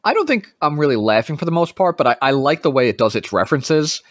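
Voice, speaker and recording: A man co-hosting a podcast in casual chat, animated and wry, quick to push with questions. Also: fairly high pitch, no room sound, studio quality. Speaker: podcast co-host.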